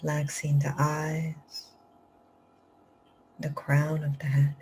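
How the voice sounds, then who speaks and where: A woman meditation teacher leading a live guided meditation, speaking slow, soft instructions that often drop to a whisper. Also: sits low, completely flat delivery, noisy background. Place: live group session.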